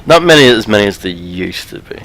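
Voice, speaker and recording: Deep-voiced, dry man commenting over a video game. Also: sing-song, fairly quick, gravelly, a bit bright, a little hiss or hum, low-quality recording. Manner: dry, sarcastic, clipped remarks. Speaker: co-host playing the game. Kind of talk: casual gameplay commentary.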